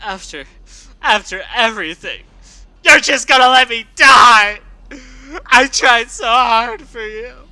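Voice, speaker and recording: A storyteller voicing a young female pegasus filly who is heartbroken, wailing and shouting through tears. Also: mid-range voice, completely flat delivery, normal speed, few pauses, husky, dry recording, voice pushed down, poor audio.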